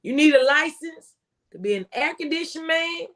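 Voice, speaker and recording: American lady, pretty high voice, real folksy and emphatic, talking like a tax pro giving advice. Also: animated, moderate pace, a bit bright. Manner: emphatic, folksy, repeats phrases for stress. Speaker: former IRS agent and tax professional, guest speaker. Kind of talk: impassioned conversational tax advice.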